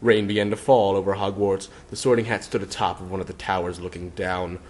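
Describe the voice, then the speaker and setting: A man's voice: low voice, little pitch movement, a little fast, darkish tone. A man reading a scripted story as narrator, in a theatrical, mock-serious style. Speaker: narrator of a fan fiction reading.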